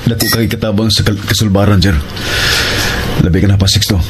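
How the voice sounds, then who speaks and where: A man's voice: lowish voice, steady tone, brisk, noisy background, close to an everyday voice. A man playing a polite, grateful and deferential younger man in a radio action drama. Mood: grateful, respectful. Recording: radio drama with music bed.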